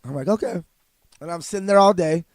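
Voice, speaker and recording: A young man talks fast and pushes his point hard, his voice on the high side for a man. The sound is dry and close, with no room echo. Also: darkish tone. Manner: fast, insistent, argumentative. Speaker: podcast co-host. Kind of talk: casual chat.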